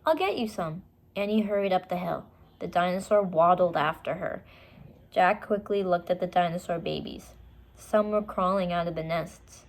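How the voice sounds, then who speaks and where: A woman reading a children's story aloud, with expressive, deliberate and dramatic narration. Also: lowish voice, gravelly.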